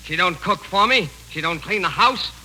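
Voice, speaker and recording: An actor playing an aggrieved, excitable husband in a 1940s radio comedy, complaining indignantly. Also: male voice, high-pitched, moderate pace, heavily acted. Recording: noisy 1940s radio broadcast recording.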